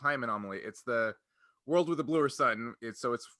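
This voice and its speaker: A man co-hosting a casual panel chat as a game master, talking in an animated way and often laughing as he speaks. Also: medium pitch, moderate pace, no room sound, clean studio sound. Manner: animated, laughs as they talk. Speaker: podcast co-host and tabletop game master.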